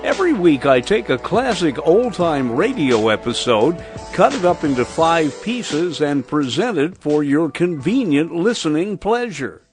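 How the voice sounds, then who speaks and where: Male radio host doing a show intro, highish voice, very lively pitch, music behind. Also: unhurried. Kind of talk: scripted radio programme introduction.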